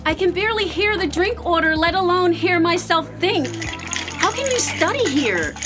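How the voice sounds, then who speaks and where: A woman's voice: extremely high pitch, expressive, normal speed, music in the background. An actress playing a wry young bartender who teases her friend. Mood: teasing.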